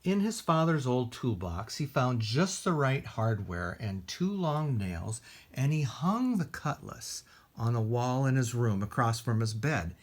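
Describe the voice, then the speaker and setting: A man with an American accent reading aloud at a children's storytime, expressive and deliberate, with casual asides in simple words. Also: medium pitch. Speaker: library storytime reader.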